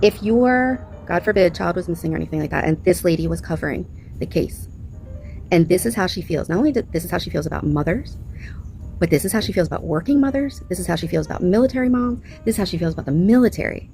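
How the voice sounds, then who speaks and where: A woman's voice: fairly low pitch, animated, fast talker, vocal fry, warm tone, faint music behind, rough recording. A woman hosting a reaction channel, speaking casually and with strong opinions, leaning on repetition and rhetorical questions. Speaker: commentary channel host reacting to clips.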